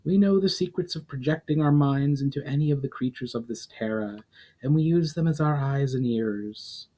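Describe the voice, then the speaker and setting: A man's voice: mid-range voice, moderate pace, warm tone, voice pushed up, close to an everyday voice. A composed elf woman from a fantasy tale, as a male reader puts her on. She explains mystical matters with poise and a knowing air. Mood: calm, knowing.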